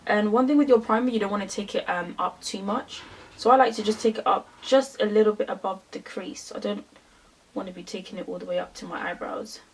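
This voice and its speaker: A woman's voice: mid-range voice, vocal fry, distant mic, a little hiss or hum, poor audio. A woman in her twenties from London with a London accent, chatting casually through a makeup tutorial with lots of fillers. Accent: London English. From England. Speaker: beauty vlogger.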